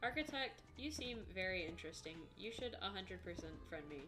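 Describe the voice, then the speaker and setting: A woman's voice: mid-range voice, lively intonation, fairly quick, over music. A tired woman streamer chatting casually with viewers, joking bluntly and dryly.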